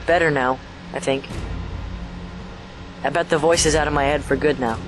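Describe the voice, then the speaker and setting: A man's voice: on the high side, steady tone, moderate pace, background noise, lightly acted. A man acting in an audio drama as a troubled, possessed son, intense and dramatic. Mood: resigned, weary. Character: troubled possessed son, menacing then resigned.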